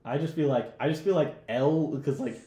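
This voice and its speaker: A man's voice: fairly high pitch, moderate pace, mellow. A young American man chatting casually as a podcast co-host, with loose, jokey, slangy talk. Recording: roomy echo.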